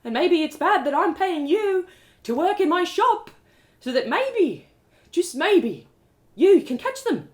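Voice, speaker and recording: A woman puts on an earnest young storybook man weighed down by guilt. He pleads in halting phrases, repeating himself, with a lively rise and fall. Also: very high-pitched, voice pushed up, heavily acted. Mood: pleading, anguished.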